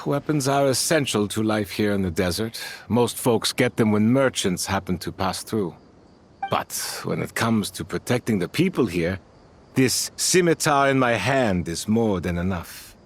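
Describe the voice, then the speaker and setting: An actor voicing a seasoned, fatherly desert band leader, gruff but kind, with a folksy, plain-spoken manner in a video game. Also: lowish voice, animated, a little slow. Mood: measured, firm. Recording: studio voice over prominent music. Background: music.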